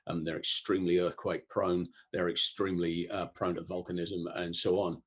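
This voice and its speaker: Man from Europe, very low voice, husky, thoughtful, explaining with frequent pauses. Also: flat delivery, fairly bright tone. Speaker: guest, disaster resilience expert. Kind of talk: conversational interview answers.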